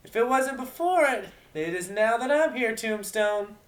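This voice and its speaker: Man putting on a cocky, shrill party pony mare. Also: lively intonation, unhurried, roomy sound. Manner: loud, showy swagger. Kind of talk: amateur dramatic fan fiction reading.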